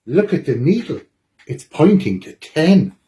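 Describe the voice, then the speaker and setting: A man reading a children's picture book aloud at a library storytime, with dramatic, expressive delivery. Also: on the high side, a little slow.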